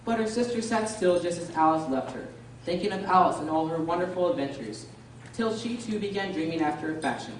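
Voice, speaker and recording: Woman as radio play narrator, measured storytelling, really echoey, far from mic, bit of noise. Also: lowish voice, lively intonation, lightly acted. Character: storybook narrator of a children's fantasy tale. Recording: very echoey room, distant, faint noise.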